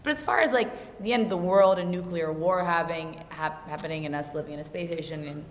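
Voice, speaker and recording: A woman being interviewed as an actress, bantering casually with blunt, deadpan teasing. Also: fairly low pitch, fairly quick. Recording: very echoey reverberant room.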